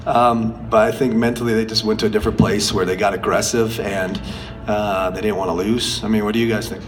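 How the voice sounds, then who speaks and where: A middle-aged man, a basketball coach with a cold-worn, hoarse voice, answering press questions after a game in an earnest, halting way full of fillers and restarts. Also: fairly low pitch, fairly even intonation, over music. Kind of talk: post-game press conference. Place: press conference room.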